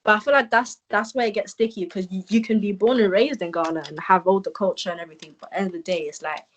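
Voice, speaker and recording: A woman, a podcast guest, speaking hesitantly and often trailing off into a quieter voice. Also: medium pitch, fast talker, highs cut off, slight background noise. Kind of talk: casual conversational interview.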